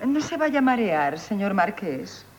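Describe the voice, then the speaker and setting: An actress playing a coquettish, self-assured innkeeper in Castilian Spanish radio theatre, teasing and mock-demure. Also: female voice, medium pitch, big pitch swings, measured pace, breathy edge, lo-fi sound. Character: coquettish, sly, self-assured female innkeeper charming men. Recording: noisy old radio drama recording.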